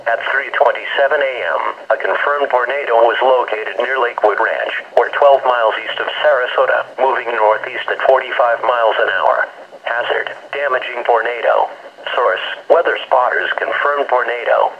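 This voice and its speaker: A man's voice: mid-range voice, normal speed, crowd noise. An American man's formal, impersonal, synthetic-sounding voice reading scripted emergency weather warnings as a radio announcer. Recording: muffled lo-fi weather radio broadcast.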